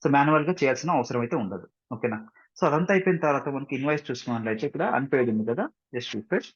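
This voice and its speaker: Fast-talking Telugu Indian man teaching. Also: mid-range voice, animated, husky. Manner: often checks understanding with short tag questions; mixes English technical terms into speech. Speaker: software instructor. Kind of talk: informal screen-share tutorial. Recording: dull, muffled lo-fi sound.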